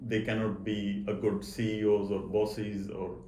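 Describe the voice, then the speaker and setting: Low-voiced man with a stutter, echoey room. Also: flat delivery, unhurried, dark tone. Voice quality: stutter, with repeated words and blocks. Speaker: interview guest, accountant. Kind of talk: personal interview talk. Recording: echoey room, faint background noise.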